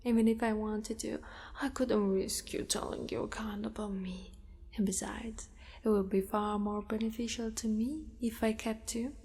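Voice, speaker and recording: Casting: woman to voice a possessive, doting spider-girl monster for ASMR roleplay, high soft breathy voice, slow coaxing delivery, cavernous reverb. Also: level tone, lightly acted. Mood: firm, possessive. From Italy. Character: possessive, doting female spider monster who kidnaps a mate.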